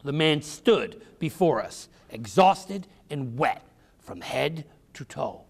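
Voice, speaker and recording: Guy reading a kids history book out loud, dramatic and deliberate, voice swoops a lot, a bit high. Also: vocal fry. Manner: expressive, deliberate, with dramatic pauses. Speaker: author reading own book aloud. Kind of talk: dramatic children's storybook reading. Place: library, reading to a group of children.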